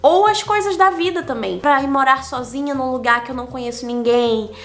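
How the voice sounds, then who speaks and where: High-voiced Brazilian woman vlogging. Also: brisk, fairly bright tone. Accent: Brazilian Portuguese. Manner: candid, counts off numbered lessons. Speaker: digital nomad vlogger. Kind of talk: casual reflective vlog monologue.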